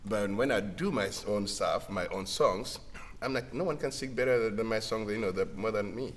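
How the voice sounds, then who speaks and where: A singer-songwriter man from Rwanda with a Rwandan accent and a deep voice, talking casually in a halting way and laughing often. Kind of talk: casual livestream conversation. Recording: faint background noise.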